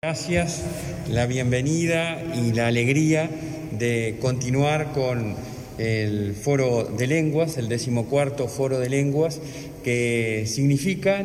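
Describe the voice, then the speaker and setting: A man's voice: mid-range voice, darkish tone. A man, an education official, gives a formal address in long, ornate sentences. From Uruguay. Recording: echoey hall, faint noise.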